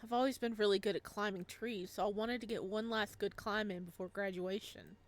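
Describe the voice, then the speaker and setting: A woman's voice: medium pitch, fairly even intonation, fairly quick, natural voice. A woman voicing a tender, guilt-ridden young man in a visual novel, speaking in an earnest, hesitant way. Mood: somber, reminiscing. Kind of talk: visual novel dialogue read aloud.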